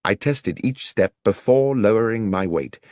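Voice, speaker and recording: Expressive low-voiced British man narrating. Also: a little slow, no echo, clean studio sound. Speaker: audiobook narrator. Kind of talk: scripted dramatic narration of a detective story.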